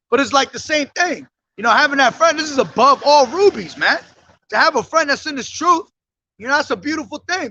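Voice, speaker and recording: A man street preaching who reads scripture slowly and drives his points home, calling his listeners "man", his voice hoarse from days of yelling. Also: very high-pitched, no echo, muffled recording. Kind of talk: street sermon with scripture reading. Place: outdoors on a street.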